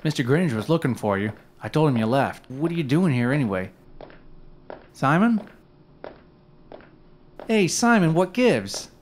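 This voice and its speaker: A man's voice: fairly high pitch, sing-song, measured pace, pauses often, a little hiss or hum. An actor playing a casual, irritated guy in a radio drama, brusque and slangy. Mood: irritated, puzzled.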